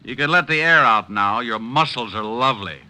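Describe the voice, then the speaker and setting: A man's voice: mid-range voice, animated, normal speed, very noisy, natural voice. A man playing a breezy, sardonic American private detective in an old-time radio drama, trading wisecracks and narrating his own case. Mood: flippant. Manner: sardonic, flippant, wry. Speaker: lead actor and first-person narrator. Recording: vintage radio drama recording.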